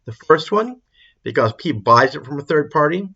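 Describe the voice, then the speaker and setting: A man's voice: on the high side, animated, normal speed, bright, forceful tone, husky, lo-fi sound. A man giving an informal explanatory lecture as an accounting instructor, repeating and rephrasing his points for emphasis. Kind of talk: explanatory lecture over a worked example.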